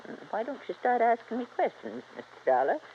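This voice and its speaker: An actress playing a stubborn, feisty old widow with a rural American accent and a folksy, bossy manner in a vintage radio drama. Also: female voice, a little high, very animated, fast. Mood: confiding. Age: older. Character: feisty, stubborn old frontier widow guarding her mine. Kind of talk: 1950s radio drama acting. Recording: muffled, noisy vintage radio recording.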